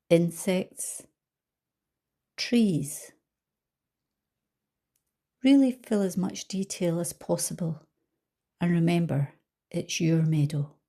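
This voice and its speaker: A woman leading a guided visualisation as a coach, speaking calmly and gently with soft trailing phrases. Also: fairly low pitch. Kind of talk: guided meditation narration.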